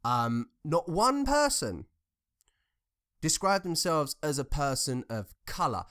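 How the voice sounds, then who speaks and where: A man's voice: fairly high pitch, sing-song, slow pace, a bit bright. Young southern English man, sweary. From England. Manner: sweary, sarcastic, informal. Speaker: commentator reacting to social media posts. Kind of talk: casual ranting commentary.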